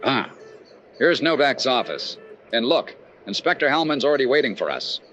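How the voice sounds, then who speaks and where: An actor playing a brisk, confident, wry male insurance investigator in an old-time radio detective drama. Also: mid-range voice, very animated, a bit bright, lo-fi sound, slight background noise. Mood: wry, easygoing.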